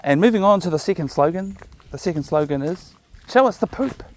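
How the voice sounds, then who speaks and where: A man's voice: high-pitched, animated, fairly quick, darkish tone, dry recording. A man with a New Zealand accent, chatting casually to viewers while walking his dog on outdoor park trails, recorded on a chest-mounted camera. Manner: laid-back, chatty, jokey asides. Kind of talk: casual rambling monologue.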